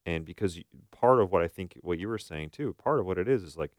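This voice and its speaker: A man's voice: sits low, animated, quick pace, no room sound, studio quality. An American man co-hosting a podcast as a therapist, explaining his views casually and acting out bits of imagined dialogue.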